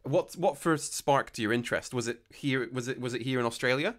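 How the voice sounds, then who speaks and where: A man's voice: medium pitch, brisk, a bit bright. A young man hosting a podcast over a video call, chatting casually with lots of restarts and fillers, on a studio mic. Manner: rambling, many restarts and fillers. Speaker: podcast host. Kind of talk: casual interview chat. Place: small room, video call. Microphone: studio mic with headphones.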